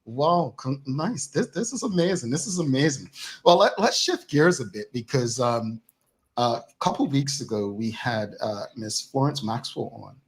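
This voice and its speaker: A man from Bermuda hosting a talk show interview, measured and using brief affirmations, reading audience comments aloud. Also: mid-range voice.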